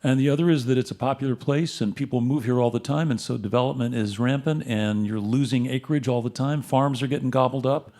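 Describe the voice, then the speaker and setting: A man's voice: medium pitch, steady tone, brisk, hardly any pauses, darkish tone. A man hosting a live radio show on stage, introducing and interviewing guests in a conversational way. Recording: live stage with audience crowd.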